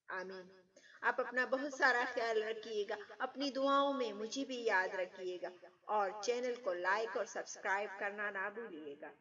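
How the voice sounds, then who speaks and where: A woman's voice: medium pitch, sharp tone, far from the mic, dull audio. A woman narrating a scripted moral story as a storyteller and channel host.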